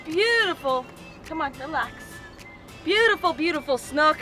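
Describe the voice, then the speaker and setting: High voiced american lady doing an excitable fishing vlog on a boat, music behind. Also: a little slow. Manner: excitable, exclamatory, informal. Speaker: fishing show host. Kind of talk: casual vlog chat, explaining to viewers. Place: outdoors on a small open fishing boat on water. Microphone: action camera at arm's length or a few feet away.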